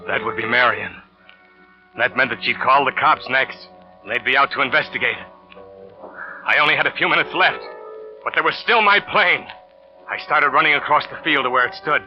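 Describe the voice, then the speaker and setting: A man's voice: medium pitch, normal speed, long pauses, lightly acted. Actor as bitter scheming man, vintage radio suspense. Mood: desperate, tense. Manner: hard-boiled, tense dramatic storytelling. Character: scheming, vengeful male lover in a murder plot, bitter and desperate. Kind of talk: 1940s radio suspense acting, first-person narration. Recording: dull old radio recording with music.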